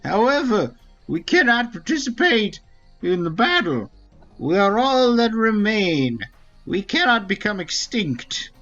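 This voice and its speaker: A man's voice: high-pitched, big pitch swings, pushed and bright, lo-fi sound, heavily acted. A man voicing an ancient, solemn Wingly elder, wise and formal, in a dramatic read-aloud of a fantasy video game. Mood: resigned. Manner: slow, grave, formal delivery. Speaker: game streamer. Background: faint game music.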